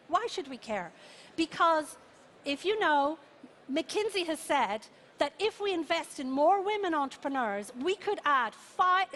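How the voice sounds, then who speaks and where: High-pitched Irish woman giving a keynote. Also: a bit bright. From Ireland. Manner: emphatic, rallying, with rhetorical questions and deliberate repetition. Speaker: keynote speaker, founder and CEO. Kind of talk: motivational conference keynote. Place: conference venue, speaking to an audience. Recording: clearly noisy live conference stage.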